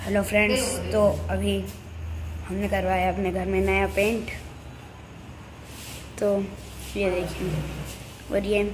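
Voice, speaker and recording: A woman's voice: mid-range voice, level tone. A woman vlogger narrating a casual home tour for viewers, pointing things out as she films indoors on a phone. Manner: slow, showing things to viewers. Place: inside a house. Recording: noisy phone recording.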